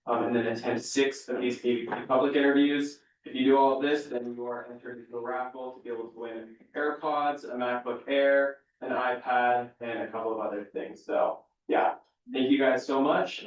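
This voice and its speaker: A young man moderating a public panel interview, relaxed and full of fillers. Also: medium pitch. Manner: relaxed, filler-heavy delivery. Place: hall with audience. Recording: echoey room, distant mic.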